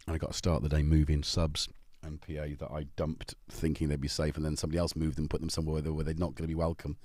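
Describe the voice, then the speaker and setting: A man's voice: very deep voice, completely flat delivery, quick pace, darkish tone, no echo. A middle-aged man from North Wales with a North Welsh accent, chatting casually with viewers on a livestream and joking as he greets them by name. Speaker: livestream host. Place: home.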